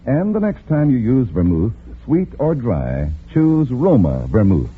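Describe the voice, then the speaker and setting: A man's voice: mid-range voice, huge pitch swings, a little slow, slightly rough. A man reading a polished 1940s radio advertisement as commercial announcer. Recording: thin phone-like old radio recording.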